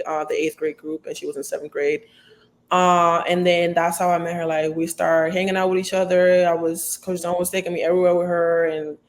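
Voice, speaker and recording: A young woman from the Dominican Republic with a Dominican accent, Spanish her first language, answering interview questions earnestly with many "like" fillers. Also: lowish voice. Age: young adult. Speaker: college basketball player.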